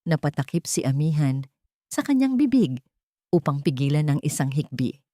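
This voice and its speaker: A woman's voice: deep voice, big pitch swings, normal speed, mellow, no room sound, studio quality. A woman with a Filipino accent giving a dramatic scripted narration of a romance story.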